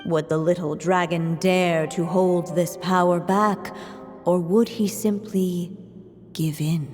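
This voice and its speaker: A woman playing a solemn storybook narrator in a video game, reading a fairy tale in a measured, grave way. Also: lowish voice, fairly even intonation. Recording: long reverb, music behind.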